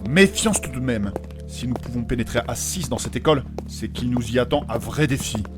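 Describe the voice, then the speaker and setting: A man's voice: medium pitch, expressive, brisk, music in the background, lightly acted. A man playing a courteous, earnest adventurer who leads his companions in a comic fantasy audio drama, speaking formally and theatrically. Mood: wary.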